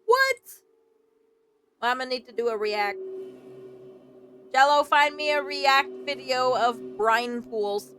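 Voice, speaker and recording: A young woman with a fairly high voice plays a game for an audience. She comments casually in slangy, reactive language and reads text aloud, with faint music behind her. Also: lively intonation, slow, long pauses, fairly bright tone. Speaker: streamer playing and reacting to a game.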